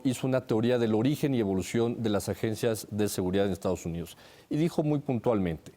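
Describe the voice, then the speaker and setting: A Mexican-accented man speaks as an analyst in a TV discussion. His voice is mellow and sits at a middle pitch. He lays out his points methodically, one after another. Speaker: security analyst on a panel. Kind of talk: TV panel discussion, analytical commentary.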